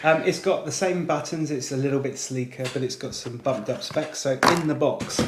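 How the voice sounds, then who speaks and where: A man's voice: medium pitch, moderate pace. A man presenting a casual product unboxing, chatting informally with British slang. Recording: echoey room, faint background noise.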